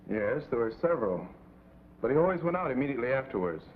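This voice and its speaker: An actor playing a guarded, proud young son in a vintage television drama, formal and measured. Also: male voice, mid-range voice, sing-song, lightly acted. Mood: earnest. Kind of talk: 1950s television drama acting. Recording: tinny, hissy vintage TV soundtrack.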